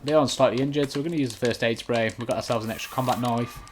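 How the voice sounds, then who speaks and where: A man's voice: medium pitch, steady tone, quick pace, a little hiss or hum. A man giving casual walkthrough commentary on a video game as he plays. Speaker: gaming channel host.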